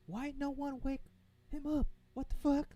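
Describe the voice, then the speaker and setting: A man's voice: very high voice, level tone, normal speed, warm tone, dry recording. A man chatting casually as a gaming co-host, slangy and sweary, talking like a bro. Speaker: co-host reacting to a game. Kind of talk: casual banter with profanity.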